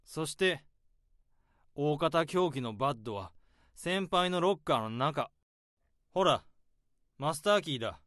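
An actor narrating as a weary, overworked male office worker in a radio drama, reasoning things out in a measured way. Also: a little high, lively intonation, a bit bright, no room sound, clean studio sound.

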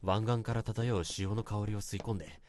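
A man's voice: lowish voice, fairly even intonation, moderate pace, a little mellow, slight background noise, lightly acted. A man acting in a radio drama as a self-conscious young man, earnestly and politely recounting an awkward romantic memory in a confessional monologue. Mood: remorseful.

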